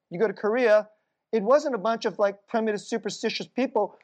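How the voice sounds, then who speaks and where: Korean American woman preaching conversationally. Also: moderate pace. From United States. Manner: conversational, storytelling, drops to a hushed low voice for eerie moments. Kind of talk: sermon with personal stories.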